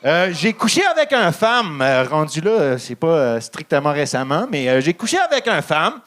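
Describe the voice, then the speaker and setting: Anglophone man with Quebec accent, halting. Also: a little high. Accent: English-speaking Quebecer speaking French. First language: English. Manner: halting with many ums, deadpan dark jokes. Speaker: stand-up comedian. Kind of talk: stand-up set.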